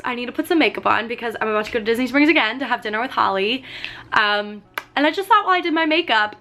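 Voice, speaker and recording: A woman in her twenties chatting casually while doing her makeup, full of "like" and "um", her voice slightly hoarse from getting sick. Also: a little high, bright, forceful tone. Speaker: vlogger. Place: sitting on a fur rug at home.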